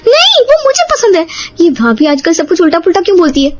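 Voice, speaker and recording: A woman's voice: very high-pitched, very animated, fast talker. A woman voicing a distressed, trapped young daughter-in-law in a cartoon story, whining and exclaiming. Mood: frustrated. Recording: slightly dull audio, music behind.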